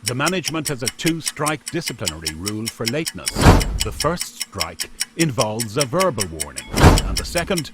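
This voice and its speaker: A man's voice: medium pitch, very animated, moderate pace. A man narrating a scripted radio play as its storyteller. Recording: loud noise behind the voice.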